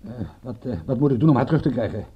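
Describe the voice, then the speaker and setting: A man's voice: mid-range voice, animated, quick pace, muffled recording, background noise, close to an everyday voice. A man playing an agitated, self-doubting but dogged private detective in a Dutch radio play. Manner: stammering, restless, self-reproaching. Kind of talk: Dutch radio play dialogue and first-person narration.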